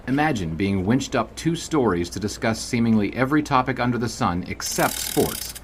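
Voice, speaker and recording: A man's voice: on the low side, a little hiss or hum, lightly acted. A man playing a seasoned old-time sports writer, narrating a scripted history story in a dry, wry way. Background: background music.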